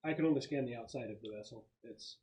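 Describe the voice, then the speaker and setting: A man's voice: medium pitch, fast, dark tone. A man improvising explanations as the game master of a tabletop role-playing game.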